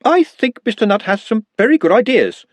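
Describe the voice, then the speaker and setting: A man voicing a fussy, flustered young wizard academic in an audiobook, with a husky voice. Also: sits high, very animated, fairly quick, dry recording, voice pushed up. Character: excitable high-strung young male wizard academic.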